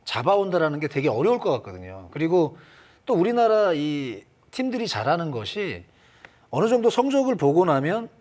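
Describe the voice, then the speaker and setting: Lively high-pitched male baseball analyst. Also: normal speed. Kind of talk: unscripted sports panel analysis.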